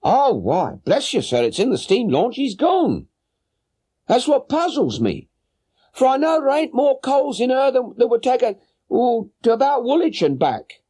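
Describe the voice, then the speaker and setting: A man's voice: very high voice, huge pitch swings, a little slow, warm tone, husky, pitched above the performer's own voice, full character voice. A man voicing a chatty, flustered working-class London boatman's wife for an audiobook. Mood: worried, puzzled. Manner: chatty, deferential, plain-spoken. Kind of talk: audiobook character voice.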